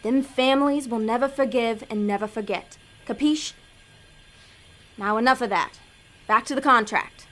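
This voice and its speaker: A woman's voice: on the high side, normal speed, a bit bright, lightly acted. An actress playing a bossy, scheming female demon in an audio drama, blunt, slangy and impatient.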